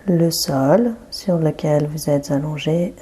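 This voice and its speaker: Very slow, mellow, breathy woman guiding relaxation. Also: sits low. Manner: calm, gentle, unhurried instruction with long pauses. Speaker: yoga nidra guide. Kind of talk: guided relaxation meditation.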